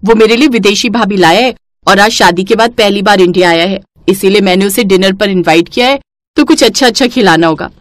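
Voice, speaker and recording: A woman's voice: medium pitch, a little fast, no room sound, clean studio sound, natural voice. A woman voicing a gluttonous, cheeky young daughter-in-law in a cartoon family story, exclaiming gleefully. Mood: bossy. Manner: gleeful, exclaiming over food.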